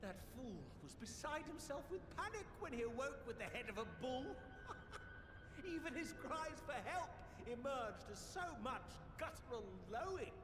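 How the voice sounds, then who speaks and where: An actor playing a cruel, gleeful ancient emperor in a game cutscene, fawning and giggling with delight. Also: male voice, extremely high pitch, big pitch swings, measured pace, noisy background, full character voice. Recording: echoey hall with game music.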